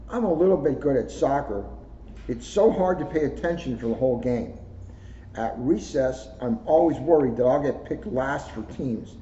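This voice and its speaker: A man's voice: a little high, warm tone. A man who works as a police officer, reading a children's book aloud indoors in a storytelling style. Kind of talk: read-aloud storytelling with casual asides. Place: indoors, second floor of a borough hall. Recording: roomy echo, dull lo-fi sound, clear noise.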